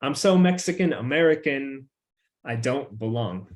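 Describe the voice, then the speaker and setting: Relaxed young man reading poetry. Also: medium pitch, measured pace. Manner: relaxed, loose talk with frequent "like" fillers; emphatic, rising refrain when reading poems. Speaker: featured poet. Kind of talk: casual chat and poetry reading.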